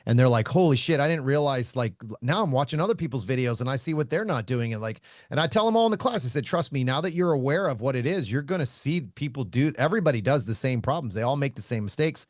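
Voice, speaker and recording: A man who hosts a podcast and teaches shooting, talking casually in a loud voice, blunt, laughing often and swearing freely. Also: medium pitch, lively intonation, fast, hardly any pauses, no room sound, clean studio sound. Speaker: shooting instructor and podcast host.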